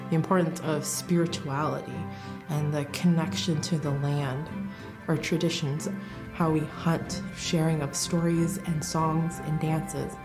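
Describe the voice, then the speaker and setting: A woman's voice: deep voice, little pitch movement, a little slow. A woman in a documentary interview, speaking in a heartfelt way as she lists what matters to her community. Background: music.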